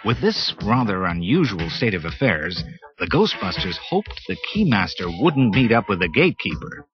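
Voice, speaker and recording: A man's voice: lowish voice, very animated, moderate pace, muffled recording. A man narrating a children's read-along story dramatically, from a scripted text, on a 1980s cassette. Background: music.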